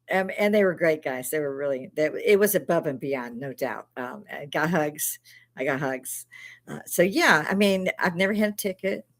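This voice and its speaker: Older woman, chicago accent, lowish voice, casual rambling stories, frequent laughs, salty language. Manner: laughs often, swears freely, rambling anecdotes. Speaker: live stream host. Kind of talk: casual storytelling chat.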